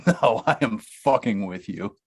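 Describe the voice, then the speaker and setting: A man's voice: on the low side, expressive, takes it slowly, husky, full character voice. A man reading a blunt Hollywood agent in a comedic table read, crude and mocking, often laughing.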